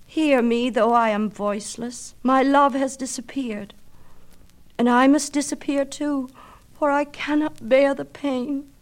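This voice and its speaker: A woman voicing a gentle, lovelorn young nymph with a plaintive, yearning manner, in a storybook reading of myth. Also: high voice, steady tone, a little slow, pitched above the performer's own voice. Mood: grieving, pleading.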